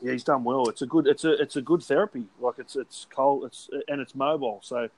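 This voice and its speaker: A man's voice: medium pitch, fairly even intonation, a little fast, darkish tone. An Australian man hosting a casual chat, blokey and talkative, swearing offhandedly and often laughing while he talks.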